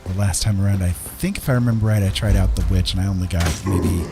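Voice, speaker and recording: A man's voice: low-pitched, quick pace, creaky, music in the background. A middle-aged man casually commentating as he plays a game live, friendly and rambling, often talking to himself. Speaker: game streamer.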